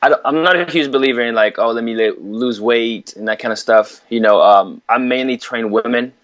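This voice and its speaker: A man's voice: mid-range voice, brisk, fairly bright tone. A man from Africa, a fitness coach, talks easily as a podcast guest and laughs lightly. He fills his talk with "you know". The sound is dull and a bit muffled. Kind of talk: casual interview chat.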